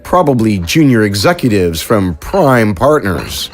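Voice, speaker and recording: A man narrating a science fiction story in a measured, even storytelling manner. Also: on the low side, soft background music. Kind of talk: scripted audiobook narration.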